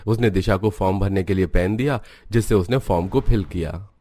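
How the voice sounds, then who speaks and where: A man's voice: deep voice, brisk, warm tone. A man narrating a scripted cartoon village story as its storyteller.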